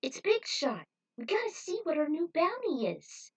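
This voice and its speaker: Woman acting excitable high-pitched young bounty hunter girl. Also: normal speed, heavily acted. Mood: excited, urgent. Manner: shouting, eager. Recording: voice distant from the mic.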